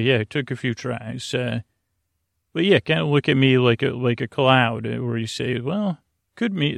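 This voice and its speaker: A man with a creaky voice hosting a sleep podcast, rambling gently and hesitantly through meandering tangents, recorded very close to the mic in a small padded closet. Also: mid-range voice, no room sound. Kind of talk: rambling bedtime monologue for sleep. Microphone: close microphone, monitored on headphones.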